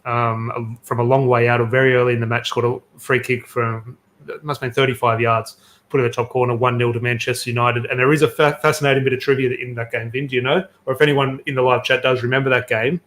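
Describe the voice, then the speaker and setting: A man talks quickly and eagerly as a podcast host, his voice in a middle register. He tells stories with plenty of fillers and restarts, and keeps the chat moving along. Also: mid-range voice, flat delivery, fairly bright tone. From Australia. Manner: chatty, enthusiastic storytelling with fillers. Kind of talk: casual football podcast chat.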